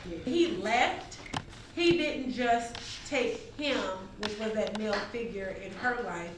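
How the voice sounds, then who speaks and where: A woman's voice: a little high, slow pace. A woman talking personally in a group discussion. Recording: very echoey room, distant mic, faint noise.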